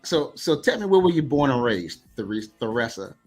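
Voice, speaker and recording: A man's voice: medium pitch, sing-song, moderate pace, soft background music. An American man hosting an interview show in a casual, conversational way. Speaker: show host. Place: video call.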